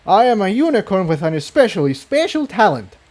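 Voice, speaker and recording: Man as a fierce high-voiced female unicorn. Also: sing-song, a little fast, a little mellow. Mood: cool menace. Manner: menacing, confident.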